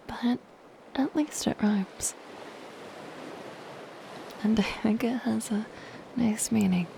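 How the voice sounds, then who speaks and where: A woman voicing a soft-spoken, possessive and lonely siren in an ASMR roleplay, in a breathy, gentle, intimate whisper. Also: medium pitch. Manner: slow, gentle, intimate delivery. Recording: close whisper under ocean surf noise. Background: ocean waves and surf.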